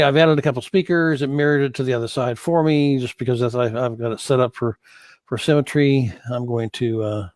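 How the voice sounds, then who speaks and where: A man giving an informal screen-share tutorial as an instructor, thinking aloud in a halting way and correcting himself as he goes. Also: mid-range voice, darkish tone, no echo. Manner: halting, hesitant delivery with self-corrections. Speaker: course instructor in church sound.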